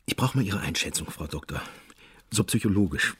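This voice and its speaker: An actor playing a restless, stubborn police investigator in a radio drama, with a rough, husky voice and a halting, colloquial, insistent manner. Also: male voice, low voice, lively intonation, fairly bright tone, exaggerated voice. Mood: probing. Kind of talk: naturalistic German radio drama acting.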